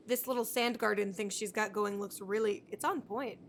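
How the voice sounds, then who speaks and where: A woman's voice: mid-range voice, fairly even intonation, moderate pace, a little hiss or hum. A woman game streamer giving casual live commentary in an informal, wry, reactive way.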